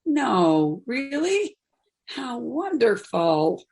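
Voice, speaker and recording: A woman acting a gentle, supportive friend in her fifties in a play reading, speaking in a reassuring way. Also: a little high, big pitch swings, very slow, creaky, breathy edge, heavily acted. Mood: delighted. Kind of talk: play reading, naturalistic dialogue.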